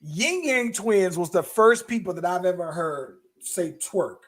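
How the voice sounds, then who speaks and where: Male podcast co-host, high voice, rambling casual talker prone to restarts. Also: animated, measured pace. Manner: rambling, many restarts and repeated words. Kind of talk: casual chat.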